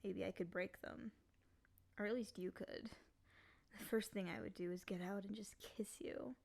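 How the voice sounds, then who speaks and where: A woman voicing a lonely, clingy female demon in a soft, often whispery voice, coaxing and pleading in an intimate ASMR roleplay. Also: mid-range voice, steady tone, moderate pace, vocal fry. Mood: longing.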